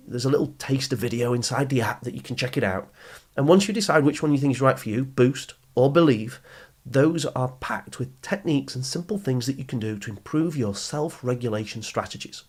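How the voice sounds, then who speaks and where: A man hosting an educational podcast for teenagers, speaking straight to the listener in an encouraging, instructive, emphatic way. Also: mid-range voice. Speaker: podcast host and coach for teenagers. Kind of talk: motivational educational podcast monologue.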